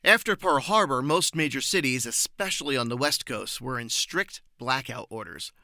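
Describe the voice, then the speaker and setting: A man giving prepared, articulate answers as an interview guest, informative and measured in his phrasing. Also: medium pitch, animated, pushed and bright, no echo, clean studio sound.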